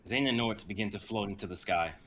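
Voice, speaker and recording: A man's voice: on the low side, fast talker, a bit bright. A young man narrating an amateur fantasy script in dramatic storytelling style. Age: young adult. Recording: faint background noise, live stream.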